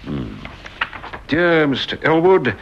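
A boastful, chummy businessman, brushing off every worry with a jaunty swagger. His pitch leaps up and down with great liveliness, and the recording is dull and lo-fi, with faint hiss behind him. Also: wildly swooping pitch, slow pace, creaky, heavily acted. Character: breezy, boastful male businessman, middle-aged. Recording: dull lo-fi old radio recording.

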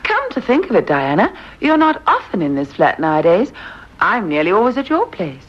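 An actress playing a sensible, dry-witted housewife with a slightly breathy voice in a lo-fi old radio drama. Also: female voice, medium pitch, wildly swooping pitch. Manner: wry, dismissive, brisk. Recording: dull lo-fi old radio recording.

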